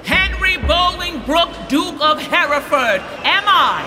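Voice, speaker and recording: Fierce, extremely high-pitched noble challenger. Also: male voice, animated, exaggerated voice. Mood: righteous, fierce. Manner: loud, slow, declamatory. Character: fierce young noble challenger knight, righteous and proud. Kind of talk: Shakespearean verse radio drama. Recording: cavernous hall reverb. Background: music.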